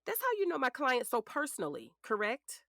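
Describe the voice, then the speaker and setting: A woman's voice: high voice, huge pitch swings, a little fast, pushed and bright, dry recording, studio quality, full character voice. A woman playing a polished defense attorney in a courtroom drama, formal, methodical and pointed. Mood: pointed, cutting. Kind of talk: audio drama acting.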